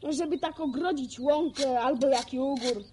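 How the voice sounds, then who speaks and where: A woman's voice: high voice, normal speed, noisy background, natural voice. A woman playing an eager, bookish village farm boy in a radio drama, with rustic colloquial speech. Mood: weary, wistful. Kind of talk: naturalistic Polish radio drama acting.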